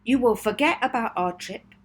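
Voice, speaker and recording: A woman acts out a confident young girl from a children's storybook. The voice is lifted in pitch and slightly husky, and she gives her orders firmly and deliberately, as if in full command. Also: lively intonation. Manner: firm, deliberate, instructing. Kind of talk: children's audiobook character voice.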